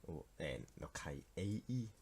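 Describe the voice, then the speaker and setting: Deep-voiced Swiss teen with a German accent. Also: male voice, animated, moderate pace, a little mellow, a little hiss or hum. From Switzerland. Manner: hesitant, many fillers and restarts, self-deprecating. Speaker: game developer. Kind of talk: casual screen-recorded devlog.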